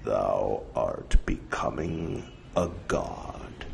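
A man's voice: medium pitch, very animated, slow pace, slight background noise. A man voicing a scheming sergeant who poses as a divine voice, with ominous, pompous, archaic speech in a story. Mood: ominous, commanding.

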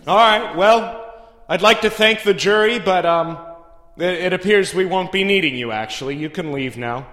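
A man playing a bossy, matter-of-fact judge of a makeshift court, talking casually and haltingly. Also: high-pitched, sharp tone. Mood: awkward. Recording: echoey hall.

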